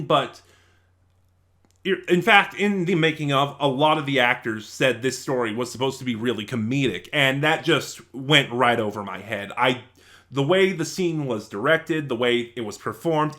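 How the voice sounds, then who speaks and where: Man, mid-pitched voice, casual review commentary, halting phrasing with mid-sentence rewording. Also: fairly bright tone. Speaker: online reviewer.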